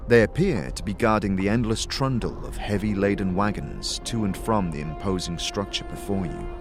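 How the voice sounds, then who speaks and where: A man's voice: fairly low pitch, normal speed, over music. A man giving scripted, dramatic second-person fantasy narration as a storyteller.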